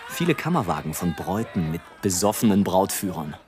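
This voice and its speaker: An actor playing a rapturous, scornful airship balloonist in a theatrical, declamatory radio play monologue, swinging between grand exultation and hush. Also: male voice, low-pitched, very animated, fairly quick, slight background noise. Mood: brisk, sardonic. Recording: studio radio play with music.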